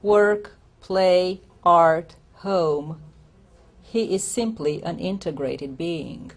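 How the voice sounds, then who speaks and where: Woman poet, low voice, reflective reading of her own prose poems with halting explanations. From Romania. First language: Romanian. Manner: unhurried, reflective, explains between poems with hesitant asides. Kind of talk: poetry reading with spoken commentary.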